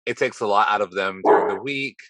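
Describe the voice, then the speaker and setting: A man hosting a podcast interview, slightly hoarse, chatty and self-deprecating as he rambles through his questions. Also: on the low side, fairly quick, fairly bright tone. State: slightly hoarse from heavy talking. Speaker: podcast host. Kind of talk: casual interview chat.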